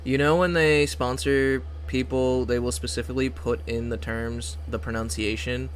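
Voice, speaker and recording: A man's voice: mid-range voice, moderate pace, slight background noise. Casual young man streaming a card game. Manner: relaxed, mumbling asides, swearing. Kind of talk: casual livestream chat.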